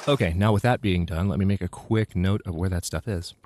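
A man's voice: deep voice, a little fast, darkish tone, a little hiss or hum. A man casually narrating his own gameplay as a let's play host, thinking aloud with restarts, sounding slightly congested.

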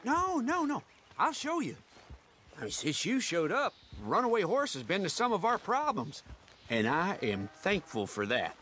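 Young American farmhand, highish animated voice, hesitant, folksy, deferential, faint noise behind, cowboy game. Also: male voice, moderate pace, full character voice. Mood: eager, sheepish. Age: young adult. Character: nervous, deferential, eager young ranch hand. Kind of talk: video game western acting.

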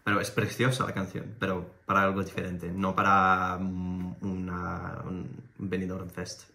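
A young man with a deep, flat voice chimes in on a casual reaction video. He hesitates and repeats himself as he gives his opinion. A roomy echo hangs around his voice. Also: a little slow. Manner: short agreeing interjections, hesitant. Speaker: reaction channel co-host. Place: living room at home. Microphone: fixed camera on a desk.

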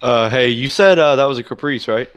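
A man chatting casually as a prank caller, phrasing things in a hesitant, drawn-out way. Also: mid-range voice, smooth, no room sound, dull audio. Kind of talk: casual phone chat.

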